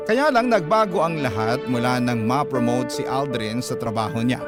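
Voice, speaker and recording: A man narrating a love-letter confession for a radio drama, measured and earnest. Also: mid-range voice, expressive, natural voice. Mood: reflective. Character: letter writer recounting a troubled live-in romance. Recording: loud music bed behind.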